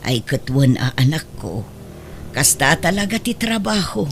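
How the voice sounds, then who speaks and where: An older Filipino grandmother in a radio drama, with a fairly low voice. She is worried and reproachful, scolding and lamenting over her family, with faint noise in the background. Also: big pitch swings, unhurried, full character voice. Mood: sad, pleading. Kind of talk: Ilocano radio drama acting.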